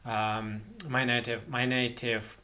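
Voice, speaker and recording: A young man with a Russian accent, a non-native English speaker, talking through a software tutorial with lots of fillers and restarts. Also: mid-range voice, flat delivery, slow. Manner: hesitant, many fillers and restarts. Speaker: tutorial host. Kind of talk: screen-recorded software tutorial. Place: small indoor room at a computer.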